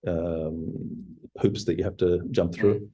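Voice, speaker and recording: A man in his forties talks through his experience as a founder. His voice is husky and low. He pauses often, piling up fillers and restarting sentences as he reflects. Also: steady tone, warm tone. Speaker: startup CEO guest. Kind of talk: interview answers.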